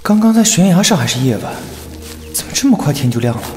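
A man's voice: fairly high pitch, very animated, quick pace, a little mellow, soft background music. Man playing a brash, mouthy young captive. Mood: wry. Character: young man captive, cocky, foul-mouthed, sarcastic, defiant. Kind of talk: radio drama acting.

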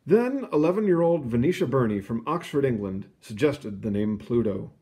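A man reading a children's storybook aloud in an expressive, explanatory way, with casual asides. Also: medium pitch, moderate pace. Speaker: storybook reader.

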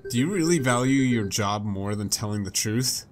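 A man's voice: fairly low pitch, expressive, normal speed, lightly acted. A man voicing a stubborn, principled young female reporter in a visual novel game, firm and insistent. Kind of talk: reading visual novel dialogue aloud. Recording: game music behind.

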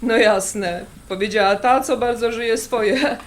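A woman's voice: a little high, slow. A woman playing a wistful, prickly middle-aged artist in a naturalistic radio drama, sighing and a little defensive. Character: wistful, prickly artist, sensitive elder-daughter type. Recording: background noise of a kitchen scene. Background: kitchen clatter, pots and dishes.

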